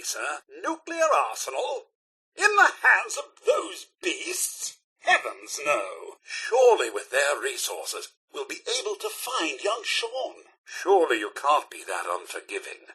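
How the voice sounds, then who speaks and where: Proper british robot butler for a game, fussy n loyal, super swoopy pitch, bit raspy. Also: male voice, heavily acted. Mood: gently opinionated, then alarmed. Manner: formal, deferential, theatrically expressive. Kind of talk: video game character dialogue.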